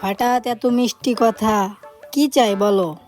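A shrewd mother hen from a cartoon voiced by a woman, protective and knowing. The voice sits slightly high, with music underneath. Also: slow, a little mellow. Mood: suspicious. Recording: music behind the voice.

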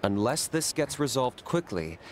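A man's voice: mid-range voice, sing-song, a little slow, slight background noise. A man voicing a cool, pragmatic young monster hunter in an anime game, reserved and matter-of-fact. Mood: resolute. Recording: game music behind the voice.

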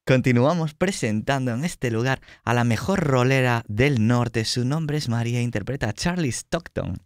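A man's voice: mid-range voice, moderate pace, dry recording. Dry-recorded Spanish man hosting roleplay. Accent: Spanish from Spain. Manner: teasing, mock-boastful banter. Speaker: host and game master of a tabletop roleplay show. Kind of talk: casual joking introduction of players on a livestream.

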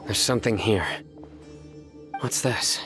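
An actor playing a stern, watchful desert guardian in a video game, with a terse, serious delivery. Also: male voice, on the low side, slow, fairly bright tone, soft background music.

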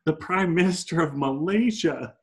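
A man's voice: a little high, moderate pace, natural voice. A man playing an admiring, affectionate young woman in a comedy table read over a video call.

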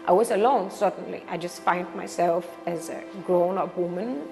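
A young woman with a Danish accent, a circus artist speaking in a reflective personal interview. She talks haltingly and searches for words in English, which is not her first language. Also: fairly low pitch, vocal fry. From Denmark. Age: young adult. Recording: reverberant with background music.

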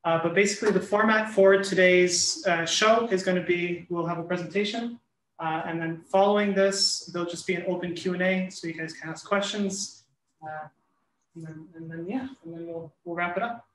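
A woman's voice: on the low side, steady tone, moderate pace. A woman hosting a campus talk show, welcoming the audience with lots of fillers. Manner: many "uh" fillers, loose. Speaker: event host. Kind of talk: welcoming introduction. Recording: echoey classroom, faint noise.

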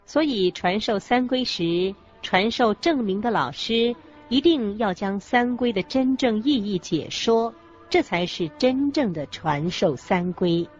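A woman's voice: mid-range voice, expressive, measured pace. A woman reading scripted religious narration as co-narrator of a radio lecture. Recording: dull lo-fi audio with background music.

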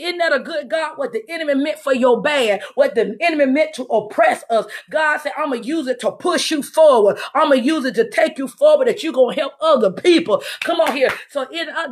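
A middle-aged woman preaching and praying in a fervent, emphatic, repetitive charismatic style. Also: sits high. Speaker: apostle leading a livestream prayer broadcast. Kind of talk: fervent charismatic sermon and prayer. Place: room at home, indoors.

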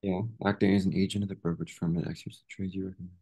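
A man's voice: low voice, level tone, very fast, warm tone, breathy edge. A young man on a video call, a student tentatively reasoning aloud through practice exam questions. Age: young adult. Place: indoor room on a video call.

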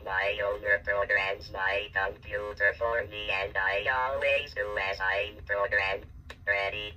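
A synthesized male voice speaking as a small, polite, earnest robot pet in a stilted monotone. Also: on the low side, slow, heavily acted. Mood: flat, polite. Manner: stilted, flat machine delivery. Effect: vintage robot speech synthesizer. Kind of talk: vintage speech-synthesizer robot voice. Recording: distant, noisy workshop recording.

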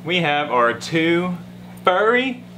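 A man's voice: fairly high pitch, very animated, takes it slowly, sharp tone. A young man co-hosting a casual fishing vlog, talking to camera with playful teasing and trash talk, outdoors by a lake and then indoors at home. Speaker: fishing vlogger, co-host. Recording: noisy camera audio.

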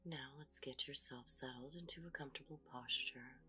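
A woman leads a guided meditation in a hushed, whispery voice. Her pitch sits very low, yet her tone has a clear, bright edge. Her slow, soothing instructions drift over soft music playing faintly behind her.

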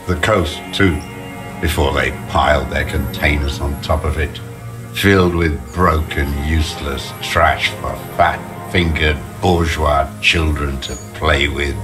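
An actor playing a bitter, contemptuous old deserter in a video game, gravelly and muttering with scorn. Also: male voice, extremely low pitch, steady tone, slow. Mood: bitter, wistful. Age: older. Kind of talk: dramatic video game voice acting. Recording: music under the voice. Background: background music.

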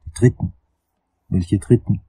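An actor playing a brusque, impatient private detective in a crime radio play, husky and curt. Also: male voice, measured pace, mellow, lightly acted. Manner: curt, businesslike.

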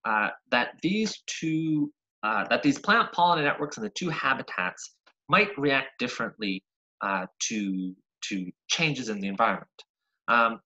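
A young man from Argentina giving an online science seminar over a video call, lively and full of ums and uhs, often restarting words. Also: fairly high pitch, dull audio. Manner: lively, frequent ums and uhs, self-correcting. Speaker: graduate researcher giving a seminar. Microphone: webcam on a video call.

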